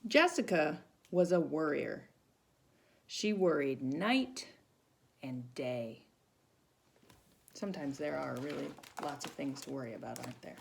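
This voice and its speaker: Gravelly-voiced woman reading a children's storybook. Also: low voice, a little hiss or hum. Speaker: volunteer storybook reader for children. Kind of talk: read-aloud children's story with asides to listeners.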